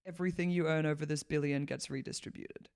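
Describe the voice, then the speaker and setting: A woman's voice: sits low, fairly even intonation, fast talker, darkish tone, smooth. A woman from Malawi talking as a podcast guest in a loose, rambling way, leaning hard on "like" and laughing often. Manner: rambling, heavy use of "like" fillers, laughs often. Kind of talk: casual conversational interview.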